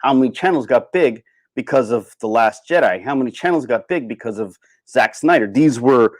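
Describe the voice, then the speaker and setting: A man's voice: mid-range voice, expressive, normal speed, dry recording. A man hosting a casual livestream, talking off the cuff in a rambling way and repeating phrases for emphasis. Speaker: livestream host. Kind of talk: casual unscripted livestream rant, reading and answering chat.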